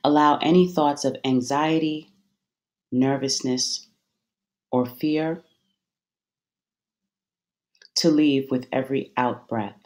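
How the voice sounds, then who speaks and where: A meditation guide giving soothing, measured instructions with deliberate pauses between phrases. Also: mid-range voice, steady tone, a little mellow.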